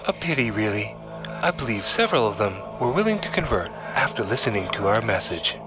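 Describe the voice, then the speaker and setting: A man's voice: on the low side, sing-song, brisk, over music. A man playing a smug, sinister cult leader in a science fiction audio drama, formal and grandiose. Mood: sinister, pious. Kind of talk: audio drama villain acting.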